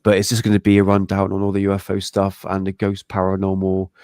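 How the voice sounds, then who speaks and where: A man's voice: deep voice, monotone, fast, a little mellow, clear, resonant tone, no room sound, studio quality. A man co-hosting a casual live-stream chat, sounding congested and talking in a laid-back, friendly way. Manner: laid-back, friendly, calls the other host mate. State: congested, blocked nose. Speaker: podcast co-host.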